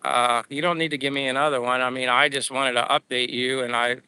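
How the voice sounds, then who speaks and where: Pennsylvania man, livestream host, very bright, smooth, dry close sound, rambling and upbeat. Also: medium pitch, level tone, fairly quick, no echo, poor audio. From United States. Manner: rambling, upbeat, thanking viewers. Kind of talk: casual livestream chat reading viewer comments. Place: living abroad.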